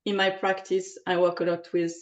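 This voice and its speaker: A woman's voice: on the low side, level tone, moderate pace, highs cut off. A French woman with a French accent, answering interview questions in a hesitant way, with many fillers. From France. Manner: hesitant, many ums and uhs. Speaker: podcast guest, aromatherapist.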